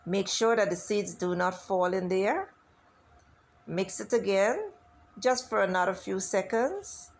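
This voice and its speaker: A woman's voice: medium pitch, animated, unhurried. A woman hosting a cooking channel, talking viewers through a recipe step by step in a chatty, instructive way. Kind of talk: casual cooking tutorial voice-over.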